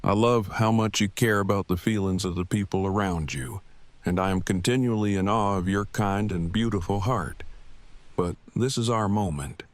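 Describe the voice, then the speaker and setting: A man playing an earnest, bookish, devoted husband in an audio drama, speaking in formal, wordy, measured phrases. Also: on the low side, dry recording, close to an everyday voice. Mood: tender. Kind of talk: fan audio drama acting.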